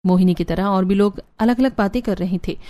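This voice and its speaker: A woman's voice: medium pitch, a little fast, dark tone. A woman reading scripted narration for a moral cartoon story.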